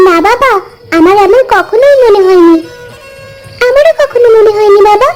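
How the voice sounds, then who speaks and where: A woman acts out a young village girl in an animated moral tale. The voice is extremely high, earnest and insistent, pleading with deep devotion. Soft music plays behind it. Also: moderate pace. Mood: anxious, tender. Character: pleading twelve-year-old village girl, devoted to her father. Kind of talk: Bengali animated moral story dubbing.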